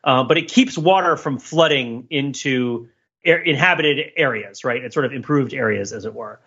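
A man's voice: mid-range voice, normal speed, fairly bright tone, husky, lo-fi sound. A man hosting a casual podcast discussion, thinking aloud in a rambling, playfully analytical way. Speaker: podcast host.

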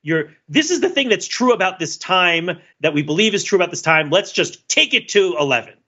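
Bright-toned man thinking aloud. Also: high voice, lively intonation, brisk, slightly rough. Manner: thinks aloud with many fillers and restarts. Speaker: podcast co-host. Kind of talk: casual analytical discussion. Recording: dull lo-fi podcast audio.

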